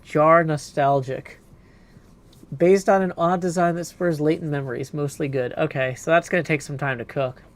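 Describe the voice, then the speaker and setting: A man's voice: fairly high pitch, moderate pace. A man doing casual gaming commentary as a live streamer, thinking aloud playfully and reading chat.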